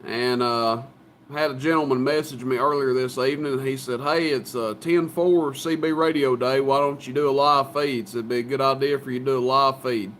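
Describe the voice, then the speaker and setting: A man's voice: medium pitch, fairly even intonation, a little fast, a bit bright, a little hiss or hum. A man chatting casually as the host of a CB radio live stream. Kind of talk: casual chat.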